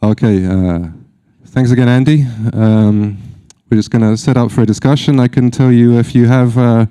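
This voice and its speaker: A man moderating a conference panel, explaining things in a relaxed, hesitant way with many fillers. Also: medium pitch, normal speed, clean tone, dry recording.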